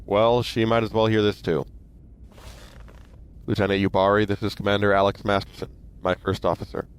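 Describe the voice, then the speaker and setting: A man's voice: fairly low pitch, level tone, normal speed, clear, resonant tone, close to an everyday voice. An actor playing a stern male starfleet admiral who gives clipped, commanding orders.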